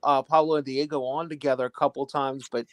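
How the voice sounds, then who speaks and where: A man's voice: medium pitch, little pitch movement, brisk, dry recording. An American man from Louisiana hosting a casual chat in an easygoing, jokey storytelling manner. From Louisiana, United States. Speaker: host.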